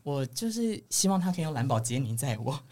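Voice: medium pitch, sing-song, fairly quick, warm tone. A podcast host with a Taiwanese Mandarin accent, chatting and interviewing casually in a playful, teasing way.

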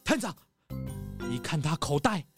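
A woman's voice: low voice, sing-song, unhurried. A woman voicing a sly, dramatic male fox who whines and accuses others in a children's audio drama. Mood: accusing. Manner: theatrical, whining, accusing. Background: background music.

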